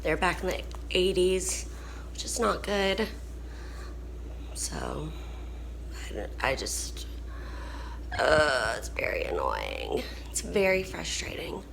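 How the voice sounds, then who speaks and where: A young woman talks slowly and wearily, her voice hoarse and broken by coughs. She sounds worn down and frustrated, and a faint hiss sits under the recording. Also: low-pitched, wildly swooping pitch, fairly bright tone, low-quality recording. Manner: tired, frustrated, drawn-out speech. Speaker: vlogger. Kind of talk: casual vlog chat.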